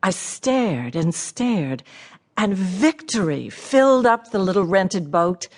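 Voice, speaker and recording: A literary woman reading her writing in a radio drama. She is wry, precise and a little shy. Her medium-pitched voice has animated rises and falls. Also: slow pace, exaggerated voice. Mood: intent, reflective. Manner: dry wit, precise. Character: shy, wry, observant female poet writing to a friend. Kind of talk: radio theatre, reading letters and verse aloud.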